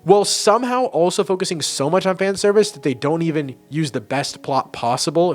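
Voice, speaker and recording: Opinionated young man ranking movies. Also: medium pitch. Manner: enthusiastic, opinionated, swears casually, frequent restarts. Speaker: movie reviewer. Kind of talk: casual ranking commentary to camera.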